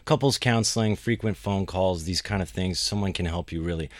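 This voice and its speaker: A man's voice: deep voice, a little fast, dry recording. A man co-hosting a podcast, giving casual, supportive advice. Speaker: podcast co-host. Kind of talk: casual discussion.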